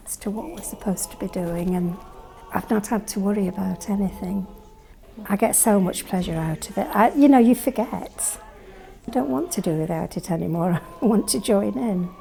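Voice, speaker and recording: An older woman speaks in a gravelly, dark-toned voice. She talks slowly and sincerely about her experience in a singing group, with heartfelt warmth in her words. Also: mid-range voice, low-quality recording. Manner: heartfelt, thoughtful, unhurried. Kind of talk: reflective interview testimony.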